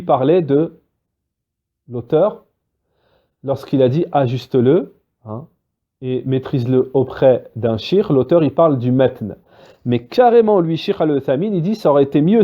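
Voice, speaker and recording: A man teaching a spoken religious lesson, lively and full of fillers, acting out little dialogues between students. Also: fairly high pitch. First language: Arabic-Islamic terms mixed into French. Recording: dull lo-fi recording.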